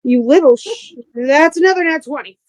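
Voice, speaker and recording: A woman's voice: high-pitched, expressive, moderate pace, a little mellow, no echo. A woman talking casually and informally, with some swearing, as a player in a tabletop game.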